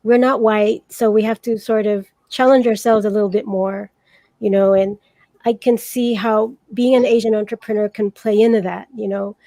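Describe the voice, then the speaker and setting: A woman moderating a panel discussion, introducing guests and asking questions in a measured way. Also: mid-range voice, steady tone, dark tone, no echo. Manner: measured, with fillers and restarts.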